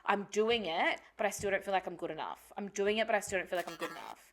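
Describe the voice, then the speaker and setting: A woman's voice: medium pitch, fast talker. Candid, sweary native Spanish-speaking woman. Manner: sweary, reflective, thinking aloud. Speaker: podcast co-host. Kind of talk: candid casual podcast chat.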